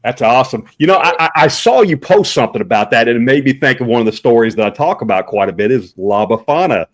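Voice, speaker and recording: A middle-aged man who works as a Santa performer answers interview questions with a booming voice and many uhs and restarts. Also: animated, fast talker. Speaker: professional Santa Claus performer, guest.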